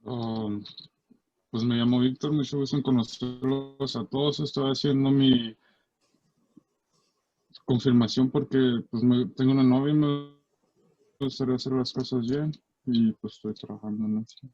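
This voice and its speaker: Mellow man on dull call audio. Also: mid-range voice, fairly even intonation, moderate pace, long pauses, no echo. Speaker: class participant. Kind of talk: casual self-introduction.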